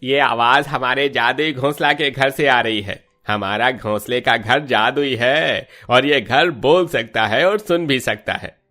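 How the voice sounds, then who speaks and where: A man's voice: medium pitch, measured pace, hardly any pauses, pushed and bright. A man playing a kindly older villager in a Hindi cartoon story, proudly explaining things to a youngster.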